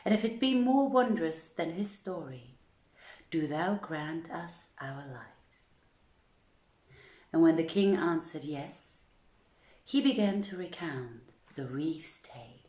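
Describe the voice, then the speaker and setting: Female storyteller, slightly low register, very unhurried theatrical delivery of archaic literary narration, roomy sound. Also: animated, a little mellow. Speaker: storyteller, reader. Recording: roomy, echoing voice recording.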